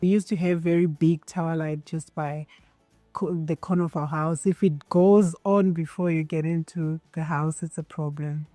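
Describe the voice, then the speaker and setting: Zimbabwean woman, low mellow voice. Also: steady tone, moderate pace, dry recording, faint music behind. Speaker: interviewee. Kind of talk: documentary interview.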